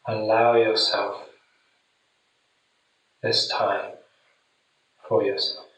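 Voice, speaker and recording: A man guiding a meditation in a soothing, deliberate manner with long pauses, speaking gently to the listener. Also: lowish voice, level tone, slight background noise. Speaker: meditation guide. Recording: very echoey room, distant mic.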